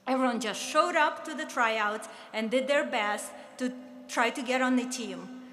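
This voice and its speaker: A woman's voice: fairly high pitch, measured pace, a bit bright, a little hiss or hum. A woman in her fifties with a Russian accent, earnestly reminiscing in a ceremony speech. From Soviet Union. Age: 50s. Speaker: former player giving an induction speech. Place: large hall at an awards ceremony. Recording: echoey hall with faint ambience.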